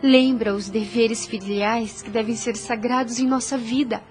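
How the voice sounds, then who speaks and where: A devout young servant woman performed by an actress counsels with patient, forgiving earnestness. Her Brazilian-accented voice is somewhat high and soothing. The lines are formal radio soap dialogue over soft music. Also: steady tone, measured pace, close to an everyday voice. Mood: gently reproving. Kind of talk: radio soap opera acting.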